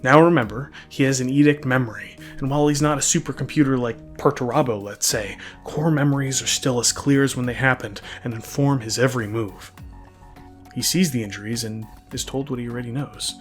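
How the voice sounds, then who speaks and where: Guy narrating fan lore, casual storyteller vibe, wry little asides, music playing behind him. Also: mid-range voice. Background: background music.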